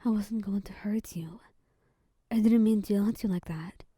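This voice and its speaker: A woman's voice: medium pitch, moderate pace, breathy edge, no room sound, clean studio sound, natural voice. Woman whispering as a guilty protective lover. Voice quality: soft whispery delivery. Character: protective young man lover, fierce but guilty.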